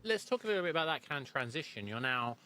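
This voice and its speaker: A man's voice: a little high, very animated, fast talker, pushed and bright, soft background music. A man hosting a conversational interview, circling his way into questions. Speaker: podcast host and presenter.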